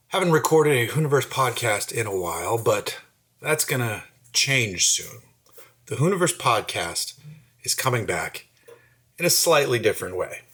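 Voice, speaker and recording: A man making a casual, unscripted podcast announcement in an informal, halting way. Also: medium pitch, fairly bright tone, slightly rough. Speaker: podcast founder introducing new hosts.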